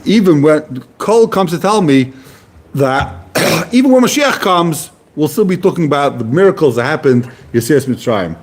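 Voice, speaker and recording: A man's voice: on the high side, animated, moderate pace, breathy edge. A man giving a casual Talmud class, explaining Hebrew and Aramaic terms in plain conversational English. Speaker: Talmud teacher.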